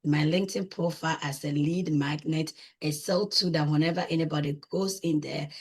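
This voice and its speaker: Female interview guest, Ghanaian accent, deep husky tone, conversational testimonial delivery. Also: fairly even intonation, normal speed. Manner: frequently tags phrases with "right?". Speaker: guest, coaching client and business owner.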